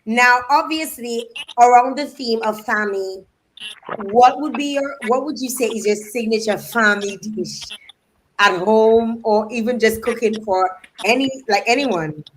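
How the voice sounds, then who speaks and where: Chatty woman host, higher voice, light background noise. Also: measured pace, poor audio. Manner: chatty, asks playful questions. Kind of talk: casual live interview.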